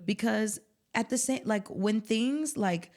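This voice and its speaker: A woman's voice: on the high side, slow pace, darkish tone. A woman appearing as a guest on a casual podcast, giving practical advice and filling her talk with "like" and "um". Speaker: podcast guest, marketing specialist.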